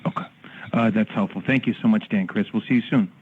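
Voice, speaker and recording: A man's voice: lowish voice, quick pace, a little hiss or hum. A man working as a financial analyst, asking questions in a casual, informal way with tentative phrasing. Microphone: phone. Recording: muffled narrow-band phone line.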